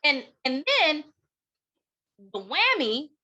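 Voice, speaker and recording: Bright, high-voiced American woman giving a keynote. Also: sing-song, highs cut off. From United States. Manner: emphatic, deliberate rhetorical delivery with pauses and repetition. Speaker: keynote speaker and educator. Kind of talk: impassioned keynote talk.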